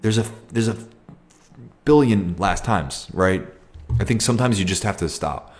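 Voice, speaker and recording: A young man with a deep, low voice hosts a casual livestream, reading viewer comments and answering them. He talks in a reflective, halting way, pausing on fillers and restarting his sentences. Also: expressive, a little mellow. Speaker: livestream host.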